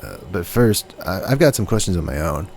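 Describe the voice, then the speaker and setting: A man's voice: deep voice, very animated, brisk, vocal fry, a little mellow, slight background noise, full character voice. A man playing a laid-back, dim young stoner who is easily amazed, speaking in slangy slacker style in an audio comedy. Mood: casual. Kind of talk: comedic audio drama acting.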